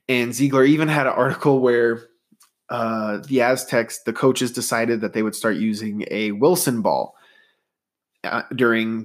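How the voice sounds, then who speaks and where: A man hosting a solo sports podcast, talking in a rambling, conversational way with a stuffy nose. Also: mid-range voice. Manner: conversational, rambling, data-minded. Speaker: podcast host.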